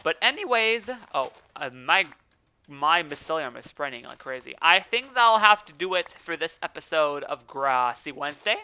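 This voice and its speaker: A man's voice: a little high, sing-song, moderate pace, pushed and bright, clean tone. A man with a French accent, a native French speaker, hosting a casual gaming podcast and rambling with many restarts as he plays. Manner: rambling, self-correcting, chatty. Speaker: let's play host.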